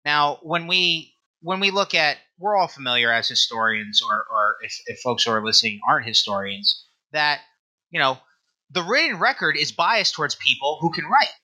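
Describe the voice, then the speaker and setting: Bright, forceful-voiced man explaining research. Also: on the high side, lively intonation, normal speed, poor audio. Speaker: guest author and historian discussing own book. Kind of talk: explanatory interview talk.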